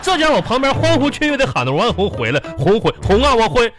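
A man's voice: very high-pitched, sing-song, fast. A man acting a wisecracking, exasperated husband in a comic radio drama, with a Northeastern Chinese accent and folksy, exaggerated griping. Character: exasperated, wisecracking husband teasing his gluttonous wife. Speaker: radio show host and performer. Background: music bed.

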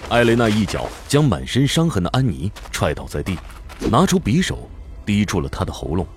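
Low-voiced man narrating with lively swings. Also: brisk, over music. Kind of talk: scripted fantasy radio drama narration.

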